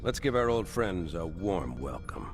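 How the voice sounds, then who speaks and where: Low-voiced, stern warship captain. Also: male voice, very animated, heavily acted. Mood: grave, commanding. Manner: measured, commanding, formal. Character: stern, weary middle-aged male warship captain. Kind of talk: video game cutscene acting. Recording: loud music under dialogue.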